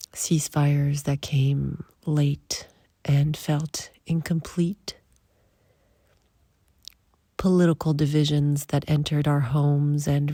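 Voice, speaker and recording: A woman leading a guided meditation in soft, breathy, short phrases with long pauses, often trailing toward a whisper. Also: sits low, no echo, studio quality.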